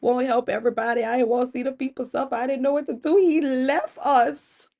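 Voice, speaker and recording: A woman's voice: fairly high pitch, fairly even intonation, moderate pace, gravelly, a little mellow, voice pushed up. A woman voicing an emotional, earnest goddess wife in a spoken myth, with a dramatic, pleading manner. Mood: tearful pleading.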